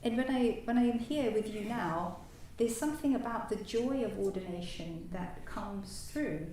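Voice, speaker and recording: A woman whose first language is French gives a halting personal talk as a new Buddhist order member, full of fillers and restarts. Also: medium pitch, dark tone. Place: meditation centre hall. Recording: echoey hall, distant mic, faint noise.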